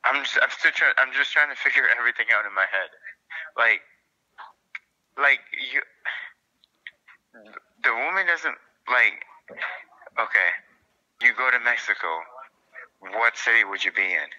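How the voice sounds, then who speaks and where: A man's voice: mid-range voice, lively intonation, measured pace, pauses often, bright, forceful tone. A man on a phone call, incredulous and pushing back, stammering through restarts. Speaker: relative being pranked. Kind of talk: casual phone chat. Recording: muffled phone line.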